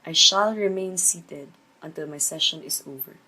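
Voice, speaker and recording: A woman leading a guided meditation, giving measured instructions with pauses between phrases. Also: sits low, sharp tone, husky, rough recording. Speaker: meditation teacher.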